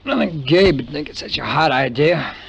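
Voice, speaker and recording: An actor playing a weary, hard-boiled American adventurer in a 1950s radio drama, telling the story in terse, wry phrases. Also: male voice, on the high side, expressive, brisk, vocal fry, fairly bright tone, slight background noise. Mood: wary, resisting. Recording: muffled vintage radio recording with music.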